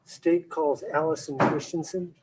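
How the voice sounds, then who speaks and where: A man questioning a witness in a courtroom as prosecutor, halting with many fillers and restarts. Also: a little high, steady tone, heavy background noise.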